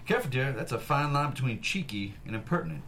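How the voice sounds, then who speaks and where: A pompous, imperious railroad tycoon, played by a man at a table read, talking quickly with lively swings in pitch over clear background noise. He is condescending and rather high-voiced. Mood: stern, patronizing. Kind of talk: actor at a script table read.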